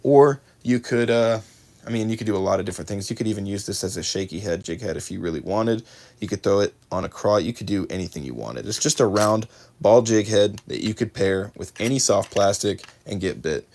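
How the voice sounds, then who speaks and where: A fairly low-voiced man with a drawl talks through tackle on a livestream, chatting casually with viewers and correcting himself often. His voice sounds slightly worn, as if he is losing it a bit. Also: a little fast, darkish tone. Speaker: fishing livestream host. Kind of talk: casual live unboxing chat.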